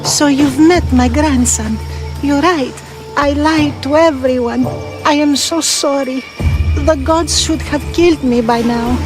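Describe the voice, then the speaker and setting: Sorry old oracle grandma, humble and pleading, high voice, game cutscene w music. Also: female voice, unhurried, low-quality recording. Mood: remorseful. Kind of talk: video game cutscene dialogue.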